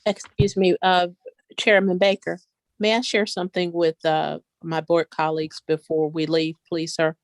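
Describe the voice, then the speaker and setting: A woman board director giving earnest, polite, measured remarks in a virtual meeting. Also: on the low side, little pitch movement, no room sound.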